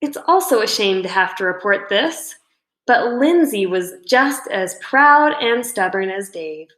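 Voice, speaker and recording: A woman reading a children's book aloud as a storyteller, in expressive scripted narration. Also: medium pitch, measured pace. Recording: roomy indoor echo.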